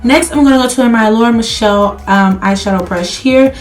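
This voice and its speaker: A woman's voice: on the high side, fairly quick, faint music behind. A woman beauty vlogger chatting casually to viewers through a makeup tutorial, her voice slightly crackly as she is losing it while under the weather. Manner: chatty, addresses viewers directly.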